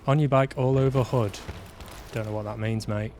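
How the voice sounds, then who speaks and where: A man's voice: mid-range voice, steady tone, moderate pace, background noise. Mancunian man casually streaming a game. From Manchester, UK. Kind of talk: casual live game commentary, reading prompts aloud. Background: faint game music.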